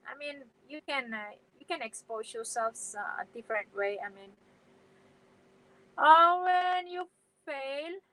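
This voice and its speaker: High-voiced woman live-streaming. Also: animated, a little slow, bright, forceful tone, poor audio. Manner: hesitant, frequent fillers and restarts. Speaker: vlogger hosting a live stream. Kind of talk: casual live-stream chat, reading viewer comments.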